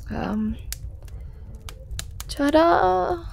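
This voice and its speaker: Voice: sits high, vocal fry, a little hiss or hum, exaggerated voice. Someone voicing a shy, ageless hooded storyteller man. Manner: hesitant and stammering, many ums and restarts; soft-spoken, often whispering. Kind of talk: ASMR audio roleplay.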